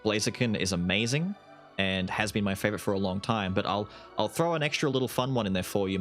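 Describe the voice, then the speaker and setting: Low-voiced Australian guy chatting casually. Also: a bit bright. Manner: rambling, self-deprecating, quick asides. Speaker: YouTube gaming creator answering viewer questions. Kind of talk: casual Q&A chat. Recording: voice over faint background music.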